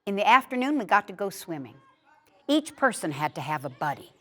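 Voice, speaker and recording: A woman reading a personal childhood memoir aloud in a measured storytelling way. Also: mid-range voice, big pitch swings, sharp tone. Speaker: story reader.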